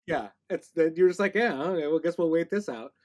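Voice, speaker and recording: A man with a somewhat high voice hosts an interview. He talks casually, with a wry, joking manner. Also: animated, a little fast, a little mellow. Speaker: podcast interviewer, host.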